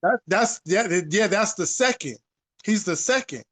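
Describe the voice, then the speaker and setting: A man with a high-pitched voice talks in a casual, unscripted discussion. He is emphatic and informal, often repeating his phrases and using some salty language. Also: expressive, moderate pace, fairly bright tone.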